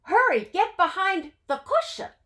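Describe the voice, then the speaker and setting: A woman voicing an excitable, helpful young fox boy in a storybook, urgent and exclaiming. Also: very high voice, a little slow, pitched above the performer's own voice, full character voice. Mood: urgent, excited.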